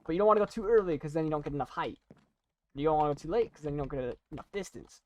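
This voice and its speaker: A young adult chatting casually while playing and commentating on a video game, rambling in a halting, self-deprecating way. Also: medium pitch, darkish tone, lo-fi sound. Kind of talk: casual gaming commentary and chat.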